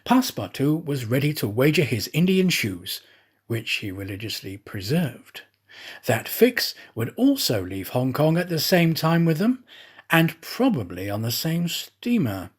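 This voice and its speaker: Expressive, slightly husky male storybook narrator. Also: medium pitch. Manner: theatrical, expressive, measured phrasing. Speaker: audiobook narrator, actor. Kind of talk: scripted storybook narration of a classic novel.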